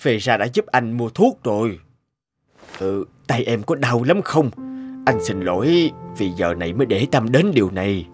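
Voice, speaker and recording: An actor playing a weary, gruff man near sixty, a curt workaholic husband and father in an animated drama. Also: fairly low pitch, moderate pace, music in the background. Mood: subdued, apologetic.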